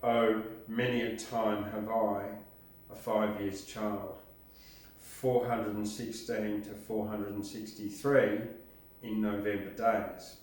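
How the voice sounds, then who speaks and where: Older man, low voice, very echoey room. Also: flat delivery, takes it slowly, a little mellow. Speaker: host introducing records. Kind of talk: casual spoken introduction, reading out a track list. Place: indoors, small home-like room with record player. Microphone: camera a few metres away. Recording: very echoey room, distant microphone.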